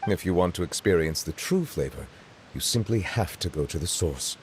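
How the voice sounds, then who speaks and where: Stately game judge, low voice, formal and precise, measured, dry humor. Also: male voice, expressive, a little hiss or hum. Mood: composed. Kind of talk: video game voice acting.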